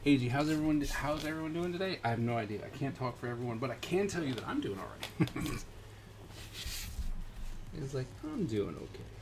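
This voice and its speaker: A man's voice: medium pitch, sing-song, background noise. Easygoing man chatting while he draws. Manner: easygoing, halting, thinks aloud. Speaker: stream host drawing comics. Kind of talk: casual chat while drawing.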